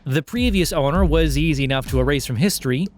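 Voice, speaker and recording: Higher-voiced man narrating a wry story. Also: fast, slight background noise. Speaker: narrator of an audio fiction podcast. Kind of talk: scripted satirical story narration.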